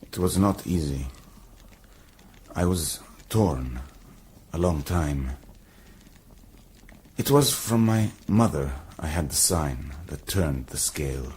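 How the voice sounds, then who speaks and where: Radio drama: proud young medieval soldier, low voice, formal, measured, courteous and sincere. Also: male voice, expressive, a little mellow, slight background noise, heavily acted. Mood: solemn. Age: young adult.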